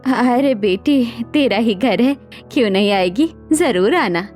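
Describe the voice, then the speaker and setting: A woman's voice: on the high side, expressive, slow pace, darkish tone, faint music behind. Plaintive poor old mother-in-law voiced by an actress. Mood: welcoming. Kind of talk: Hindi cartoon story dialogue.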